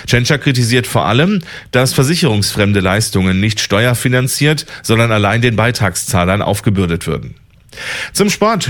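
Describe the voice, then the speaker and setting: Man, deep voice, newsreader, steady scripted delivery. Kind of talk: scripted news reading.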